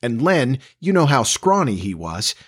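A man voicing an eager, starry-eyed nineteen-year-old farm boy in a storybook, with an excitable, folksy, boyish manner. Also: medium pitch, measured pace, breathy edge, pitched above the performer's own voice.

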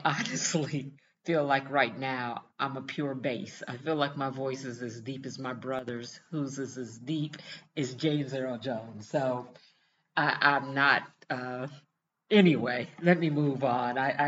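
A woman's voice: extremely low pitch, slow pace, muffled recording, slight background noise. An older woman telling a personal story in a casual, chatty self-help vlog, often laughing as she talks. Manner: chatty, laughs easily, animated retelling.

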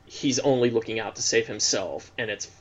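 A man's voice: medium pitch, normal speed. Hesitant man on a dull-sounding mic. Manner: hesitant, many fillers and likes. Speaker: podcast co-host. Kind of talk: casual film podcast chat.

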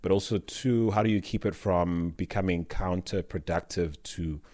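A man's voice: deep voice, fairly even intonation, normal speed, darkish tone, dry recording. A man interviewing a startup founder, asking thoughtful, probing questions.

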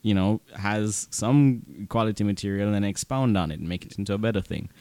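A man's voice: fairly low pitch, brisk, darkish tone, no echo. A man co-hosting a film podcast, chatting casually. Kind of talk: casual chat.

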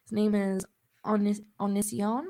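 A woman's voice: medium pitch, little pitch movement, normal speed, dark tone. A woman co-hosting a casual podcast, chatty and laughing between points, sounding a little stuffy from a cold. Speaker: podcast co-host.

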